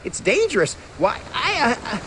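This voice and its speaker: Wildly animated, fretful cartoon cricket. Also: male voice, very high-pitched, normal speed, slight background noise, exaggerated voice. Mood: fretful, warning. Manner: folksy, excitable, stammering. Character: small, fretful, loyal old-fashioned cartoon cricket. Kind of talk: animated video game voice acting. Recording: music behind the voice.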